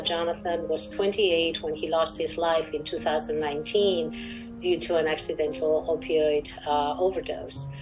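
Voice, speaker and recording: A woman's voice: low-pitched, low-quality recording. Spanish-accented woman in her sixties over music. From Spain. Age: 60s. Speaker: interview guest, clinical research director. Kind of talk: formal interview answers.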